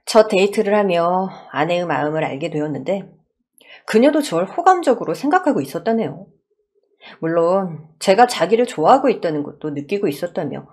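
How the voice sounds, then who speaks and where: A woman narrates a first-person story in radio drama style. Her voice has a husky edge and moves through a wide, animated pitch range.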